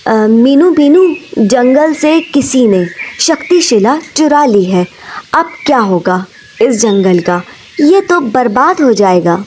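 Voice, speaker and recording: A woman's voice: on the high side, animated, slow pace, a little mellow, a little hiss or hum. A woman voicing a male parrot king of the forest in a cartoon story, earnest in manner. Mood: worried.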